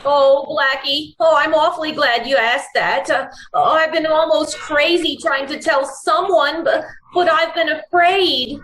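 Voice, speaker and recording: An anxious young woman in trouble from a 1940s radio play, acted by a woman. Her voice is high, earnest and hesitant, with clear echo around it. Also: unhurried, a bit bright, slight background noise. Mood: anxious, confiding. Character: anxious, pleading young woman mixed up with crooks. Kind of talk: 1940s radio drama acting. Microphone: video call. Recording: echoey online-call recording.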